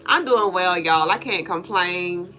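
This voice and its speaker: A woman co-hosting a casual livestream, congested and nasal, lively and playful as she greets viewers by name. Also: fairly low pitch, a little slow, soft background music. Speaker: livestream co-host.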